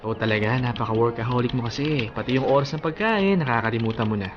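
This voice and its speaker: A man's voice: medium pitch, quick pace, lo-fi sound, a little hiss or hum, close to an everyday voice. An actor playing a teasing, caring male office co-worker in a Tagalog radio drama, with a playful, coaxing manner.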